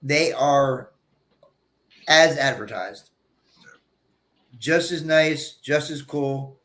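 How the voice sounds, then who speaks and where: A man's voice: medium pitch, very slow, fairly bright tone. Rambling man who repeats himself. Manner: rambling, repeats his words, storytelling. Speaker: co-host of a live stream. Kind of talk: casual live-stream chat.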